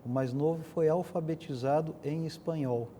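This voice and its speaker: A man's voice: fairly high pitch, moderate pace, dark tone, slight background noise. A middle-aged or older man with a Brazilian accent, telling stories conversationally as a panel guest. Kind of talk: conversational storytelling in a discussion.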